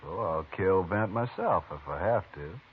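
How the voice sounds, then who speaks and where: A man's voice: low voice, expressive, measured pace. An actor playing a stubborn, vengeful male frontiersman in a 1950s radio drama, blunt and threatening. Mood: menacing. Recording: tinny old radio broadcast with hiss.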